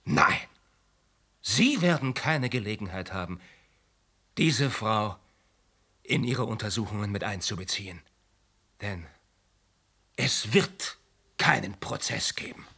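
An actor playing a self-righteous insurance agent who confesses to murder in a deliberate, formal, unrepentant way in a German radio play. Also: male voice, on the low side, expressive, vocal fry, sharp tone, breathy edge, exaggerated voice. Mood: resolute.

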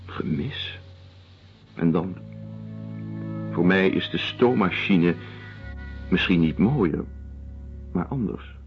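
An actor playing a cool, fatalistic ship's doctor in a radio drama, matter-of-fact and deliberate. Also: male voice, low-pitched, animated, faint music behind, exaggerated voice. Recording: muffled lo-fi old radio play recording.

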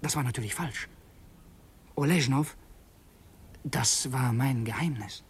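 Brooding male revolutionary, mid-pitched, husky, halting, intense, dramatic radio narration. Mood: uneasy. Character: earnest, brooding male revolutionary narrating his secret errand. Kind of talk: radio play, first-person dramatic narration.

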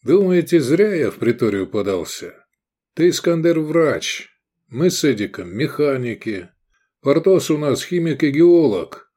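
A man's voice: fairly high pitch, big pitch swings, measured pace, dark tone, pitched above the performer's own voice. A man voicing an ambitious gladiator in an audiobook, drawling and persuasive. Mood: fervent, ambitious. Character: lazy-toned, ambitious male gladiator rallying his friends.

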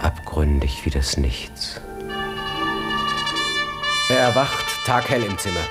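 A man's voice: sits low, big pitch swings, takes it slowly. A man narrating a literary radio drama in an intense, terse and dramatic manner. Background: music.

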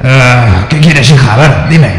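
An actor voicing a blustery, exasperated Spanish bar owner and henpecked husband in a comic radio play, with an accent from Spain. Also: male voice, mid-range voice, measured pace, natural voice. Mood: gruff, puzzled. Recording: reverberant room, loud music behind.

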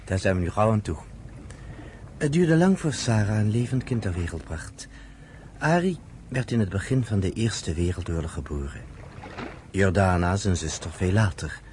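A man's voice: sits low, animated, moderate pace, darkish tone, a little hiss or hum. An actor playing a man intimately telling his family's story in a Dutch radio play. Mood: tender, reflective.

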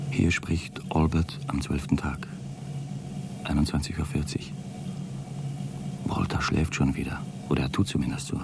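An actor playing an aggrieved, suspicious space traveller dictating a pompous, wordy log in a radio drama. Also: male voice, extremely low pitch, vocal fry, noisy background. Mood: guarded, haughty. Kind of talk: radio drama monologue, intimate log entry.

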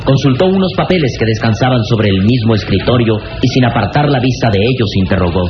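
A man's voice: medium pitch, expressive, fast talker. A man narrating an old radio serial in a scripted, dramatic style. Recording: dull vintage radio recording with music.

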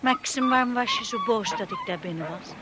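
An actress playing a timid, anxious young wife in a Dutch radio drama, hesitant and devoted, also narrating softly in the first person. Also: female voice, high voice, wildly swooping pitch, a little fast. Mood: anxious, probing. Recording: noisy old radio play recording.

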